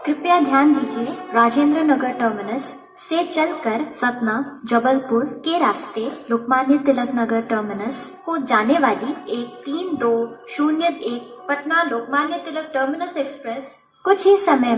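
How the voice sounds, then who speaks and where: A woman with an Indian accent making formal scripted railway station announcements in measured, stitched-together phrases. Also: high voice. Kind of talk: scripted public announcement in Hindi and English. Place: railway station, announcement heard over PA speakers. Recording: echoey station loudspeaker, distant mic, music.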